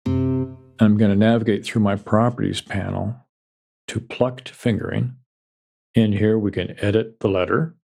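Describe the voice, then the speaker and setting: Low, gravelly male tutor; calm, methodical walkthrough of software steps. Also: unhurried, long pauses, soft background music. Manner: calm, methodical, step-by-step explanation. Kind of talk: screen-recorded software tutorial.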